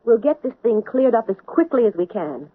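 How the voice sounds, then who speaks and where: An actress playing a firm doctor pressing a suspect for answers in a 1970s radio drama. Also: female voice, a little high, big pitch swings, fairly quick, slightly rough, no echo. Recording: tinny, dry old radio broadcast.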